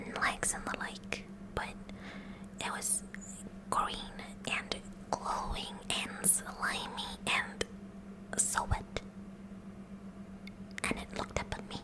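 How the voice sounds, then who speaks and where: A woman's voice: natural voice, rough recording. Woman playing a whispering, kooky teenage science girl. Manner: breathy whisper, nerdy formal wording, nervous stammering. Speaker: ASMR roleplay performer. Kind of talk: whispered ASMR roleplay.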